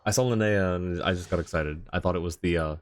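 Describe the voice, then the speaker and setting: A man's voice: sits low, fast. A man livestreaming a game, talking casually and conversationally as he reads out and answers chat comments. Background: faint game music.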